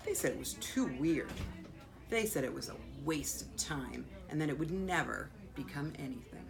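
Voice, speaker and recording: An American woman, a school principal, reading a children's picture book aloud expressively, with dramatic pauses and drawn-out words. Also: deep voice, expressive, creaky, over music. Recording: faint background noise.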